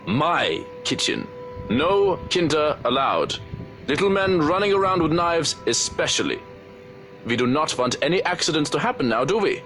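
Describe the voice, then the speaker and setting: Very animated, theatrical cook. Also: male voice, on the high side, normal speed, faint music behind. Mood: playfully stern. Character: fussy, jovial male cook ruling his kitchen. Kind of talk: visual novel character acting.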